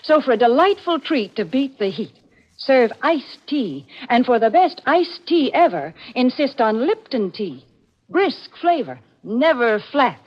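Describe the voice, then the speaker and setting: A dull vintage radio recording of a woman reading sponsor copy. Her voice swings brightly up and down in a polished, chirpy 1940s sales style. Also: high-pitched, very animated, unhurried. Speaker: sponsor's spokeswoman. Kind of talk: 1940s scripted radio advertising.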